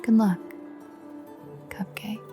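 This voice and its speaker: A woman's voice: takes it slowly, over music, lightly acted. A woman playing a sardonic, threatening female vampire with a secret fondness, in a wry, teasing, half-whispered audio roleplay. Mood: resigned, menacing. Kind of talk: intimate audio roleplay acting.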